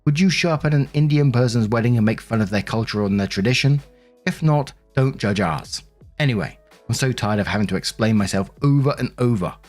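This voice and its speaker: A man's voice: medium pitch, lively intonation, a little fast, darkish tone, faint music behind, natural voice. A man reading out the posts of an indignant, bossy bride in a self-righteous, emphatic manner. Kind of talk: dramatic reading of social media posts.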